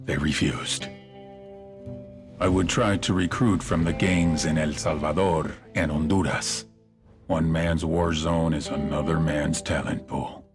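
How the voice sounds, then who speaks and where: A brooding drug lord from a video game. His voice sits extremely low and he talks in solemn, deliberate phrases, like a fallen king explaining himself. Music plays clearly beneath him. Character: brooding male drug cartel boss, grandiose and self-mythologizing. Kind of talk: dramatic monologue in a video game cinematic. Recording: music under the voice.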